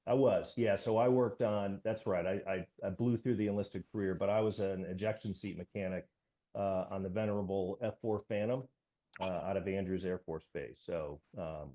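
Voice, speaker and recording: An American man in his fifties, a podcast guest recounting his past in a genial, measured way with hesitant phrasing. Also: deep voice, dark tone. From United States. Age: 50s. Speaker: podcast guest, military veteran. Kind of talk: conversational interview, recounting. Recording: slightly dull, lo-fi audio.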